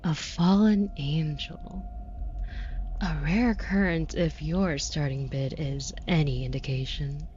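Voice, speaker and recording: A woman's voice: deep voice, slow pace, darkish tone, muffled recording, slight background noise. A woman playing a haughty, predatory demon buyer in an intimate audio roleplay, taunting with condescending, mock-sweet cruelty. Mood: curious, coaxing.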